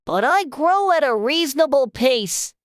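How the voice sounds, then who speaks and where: A narrator voicing a sleepy, sulky little Dormouse in a storybook, complaining in a drawn-out way. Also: high-pitched, very animated, no echo, clean studio sound, voice pushed up. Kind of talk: dramatized storybook character voice.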